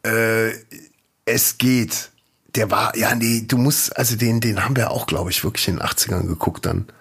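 Guy in his 40s, kinda husky and low, chatty podcast co-host telling stories. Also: moderate pace. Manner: laughs a lot, lively storytelling. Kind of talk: casual chat.

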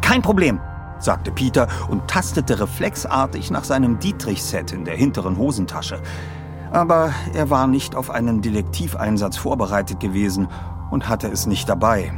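Animated male narrator over music. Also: on the low side, normal speed. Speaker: podcast host and audiobook reader. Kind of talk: scripted narration and presenting.

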